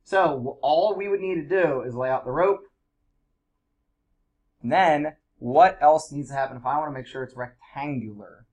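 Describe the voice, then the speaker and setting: A man's voice: on the high side, normal speed, a little mellow. Congested man teaching a math class. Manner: casual, chatty explaining with fillers. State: congested from allergies. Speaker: high school math teacher. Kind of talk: classroom lesson.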